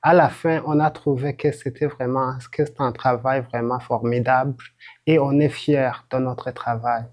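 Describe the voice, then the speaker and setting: A man's voice: medium pitch, steady tone, moderate pace, darkish tone. A man from Burundi, a university student, giving an earnest personal testimony in simple phrasing.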